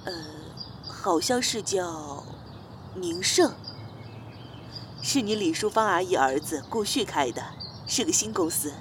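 A woman acting in an audio drama as a gentle, considerate middle-aged mother, speaking in a measured, soft manner. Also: mid-range voice, very animated, background noise. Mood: gentle, tactful.

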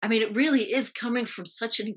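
A woman from New York with an American accent, speaking reflectively as an interview guest in quick, clipped phrases. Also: a little high, steady tone, husky. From New York, United States. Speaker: guest, actress recalling TV roles.

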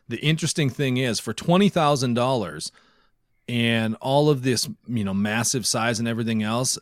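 Male podcast co-host, medium pitch, conversational explainer, dry studio-like sound. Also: dry recording. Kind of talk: casual tech and gaming podcast chat.

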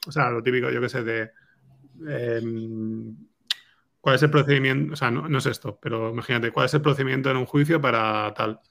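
A man speaks with a Spain Spanish accent in a casual interview chat. His voice is average in pitch with noticeable creak. He is informal and keeps seeking agreement as he explains. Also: steady tone, moderate pace, fairly bright tone. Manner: informal, seeks agreement with tag questions. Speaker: screenwriter being interviewed.

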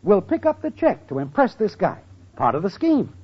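Cocky, animated schemer boyfriend. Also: male voice, high voice, normal speed, slightly rough, exaggerated voice. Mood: wheedling. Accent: American. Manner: slangy, wheedling, cocky. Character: scheming, broke, fast-talking male sitcom boyfriend. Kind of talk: 1940s-50s radio comedy acting. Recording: dull, noisy old radio recording.

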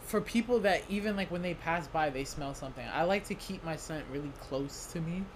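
A young man with a higher, lighter voice. He talks in a lively, sassy, joking way as a podcast co-host. There is clear background noise in the room. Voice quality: higher-pitched voice, self-described. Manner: energetic, sassy, quick to joke. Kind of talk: casual chat.

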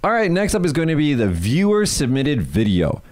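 American male host, animated casual delivery, very lively pitch. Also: moderate pace. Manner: animated, fillers like "like". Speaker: show host. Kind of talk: casual chat.

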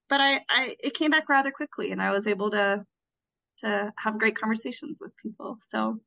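A woman in her thirties answers interview questions in a relaxed, reflective way. She pauses and restarts often as she works through her memories. The recording sounds a little dull and lo-fi. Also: medium pitch. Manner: reflective, with frequent restarts and fillers. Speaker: interview guest, former Peace Corps volunteer.

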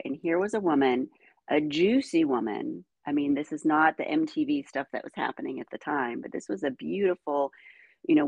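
A middle-aged woman, first raised speaking Ukrainian and from the United States, telling her life story as a guest in animated conversation. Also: deep voice, gravelly, slightly rough, dry recording. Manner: animated storytelling, frequent "you know". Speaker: guest, dancer.

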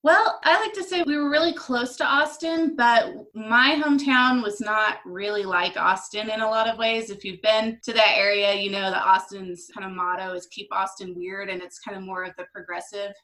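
A woman's voice: mid-range voice, moderate pace, few pauses, bright, forceful tone, rough recording. A woman from Texas with a Texan accent, chatting as a podcast guest with easy laughs. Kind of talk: conversational interview.

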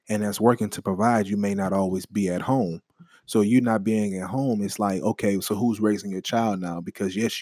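Young male podcast co-host, casual and profane, lively talk on a dead-dry close mic. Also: on the low side, no room sound. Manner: animated, swearing freely, restarts and rambles. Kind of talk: casual chat.

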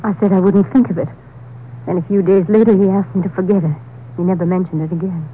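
A woman's voice: on the low side, fairly even intonation, fast, lightly acted. Female voice, actress playing fearful stubborn wife, urgent, emotional, vintage radio acting, dull noisy recording. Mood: bitter, hurt. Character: anxious, insistent rancher's wife, fearful and suspicious. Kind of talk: 1950s radio drama acting.

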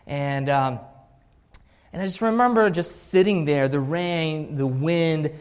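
Preacher, man in his 30s, from Russia, rambling anecdotal delivery, fairly high voice. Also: clean tone. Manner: halting storytelling with many fillers and restarts. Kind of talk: scripture reading then personal story sermon.

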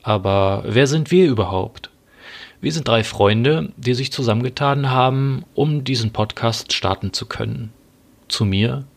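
A man's voice: medium pitch, a little slow, creaky. A man in his twenties hosting a casual podcast, talking with frequent hesitations and filler sounds. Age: 20s. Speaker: podcast host.

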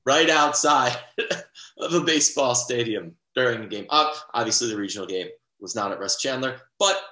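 A man's voice: mid-range voice, moderate pace, bright, forceful tone, echoey room. A young man co-hosting a sports podcast in casual, rambling chat, full of fillers and restarts. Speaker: podcast co-host.

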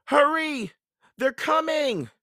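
Storybook girl, male reader: very high, bright, forceful, bold and urgent. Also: expressive, takes it slowly, no room sound, studio quality, heavily acted. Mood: alarmed shouting. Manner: urgent, insistent calling out. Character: bold, alarmed, scolding young girl.

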